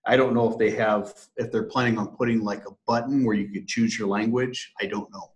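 A man's voice: lowish voice, steady tone, fairly quick. A man, a sales director, explaining plans in an interview, hesitant with many fillers. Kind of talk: conversational business interview.

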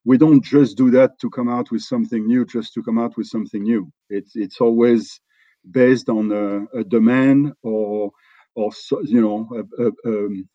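A middle-aged man from France with a French accent, talking in a relaxed interview and often restarting his sentences. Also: medium pitch, dry recording, dull audio. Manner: halting, many restarts and fillers. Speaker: company executive guest.

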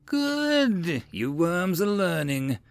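A man's voice: fairly high pitch, animated, a little slow, dry recording, studio quality, voice pushed up. A man voicing a bullying, sarcastic military drill instructor in an audiobook, barking and sneering theatrical insults. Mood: scornful.

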